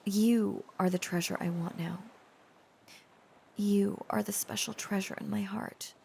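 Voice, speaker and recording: A woman playing a shy, protective dragon-descended lover in an intimate romantic audio roleplay, speaking haltingly and earnestly, often dropping toward a breathy whisper. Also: medium pitch, steady tone, darkish tone, slight background noise. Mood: adoring, tender. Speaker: voice actor.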